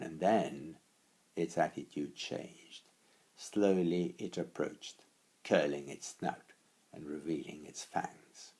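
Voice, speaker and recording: A man narrating a story expressively and deliberately, with dramatic pauses, as an author reading his own work. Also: deep voice, vocal fry, darkish tone, husky.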